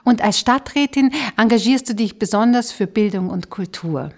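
A woman's voice: medium pitch, normal speed. A woman hosting a conversational podcast interview in a chatty way, reacting with exclamations and putting questions to a guest. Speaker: podcast host.

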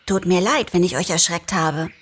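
A woman voicing a polite, formal talking fox who is softly insistent, in a storybook reading. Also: fairly low pitch, moderate pace, close to an everyday voice. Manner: courteous, old-fashioned, softly insistent.

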